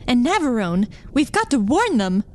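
A woman's voice: a little high, big pitch swings, normal speed, background noise. An actress playing an anxious young woman in an audio drama.

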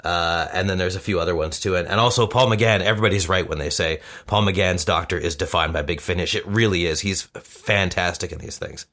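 A man's voice: low voice, fairly quick, hardly any pauses, pushed and bright. A man chatting casually as a podcast guest, informal and opinionated.

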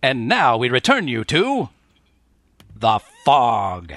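Guy doing eager old radio ad announcer, lively pitch, bright and forceful. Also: slow, no echo, exaggerated voice. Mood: upbeat, salesy. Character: enthusiastic old-time radio sponsor announcer for coffee. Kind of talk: parody of 1940s radio advertising.

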